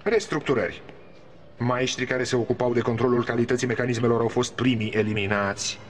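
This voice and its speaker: A man's voice: medium pitch, fairly even intonation, a little hiss or hum, close to an everyday voice. A man voicing a smug, self-important middle-aged cartoon foreman in a Romanian dub. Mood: deflated. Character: Earl Grunwald, pompous middle-aged foreman.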